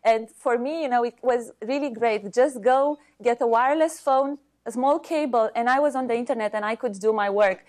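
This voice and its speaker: A woman with a Romanian accent giving an unscripted academic seminar talk as a university researcher, halting as she searches for words. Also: on the high side, a little mellow.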